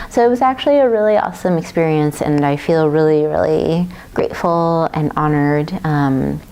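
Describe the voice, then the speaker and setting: A woman artist from Taiwan talking about her work in a thoughtful, unhurried way, with many fillers and restarts. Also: sits low, darkish tone. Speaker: visual artist.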